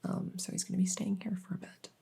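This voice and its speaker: A woman playing a kindly, rambling vet in an ASMR audio roleplay, explaining things softly and hesitantly with lots of reassurance. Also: fast, lightly acted. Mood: gentle, clinical. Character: kindly, rambling female vet for supernatural patients. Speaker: voice roleplay performer.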